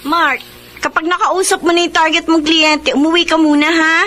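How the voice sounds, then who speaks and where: High-voiced doting, nagging Filipina wife. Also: female voice, little pitch movement, normal speed, bright, forceful tone. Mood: fussing, concerned. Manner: insistent, repeats reminders. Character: doting, nagging wife who fusses over her husband. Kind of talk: Filipino radio drama acting. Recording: faint noise and ambience behind.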